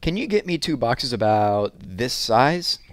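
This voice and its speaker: A man's voice: mid-range voice, very animated, measured pace, no echo, pitched above the performer's own voice. Earnest young male instructor, read by a man. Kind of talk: children's story dialogue.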